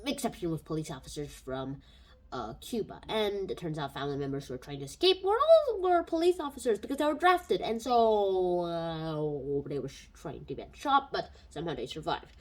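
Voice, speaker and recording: A woman's voice: fairly low pitch, lively intonation, moderate pace. A woman giving a casual spoken book review into a home microphone, rambling and thinking aloud.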